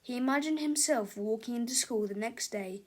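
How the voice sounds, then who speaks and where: A woman's voice: a little high, normal speed, a little mellow. A woman reading a children's book aloud as scripted narration.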